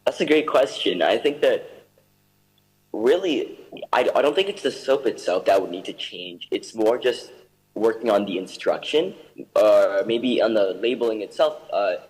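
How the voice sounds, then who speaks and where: A man's voice: lowish voice, animated, moderate pace, darkish tone, big-room sound, low-quality recording. A young man from Ethiopia answering interview questions over a video call, earnest and eager, with fillers. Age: young adult. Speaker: guest.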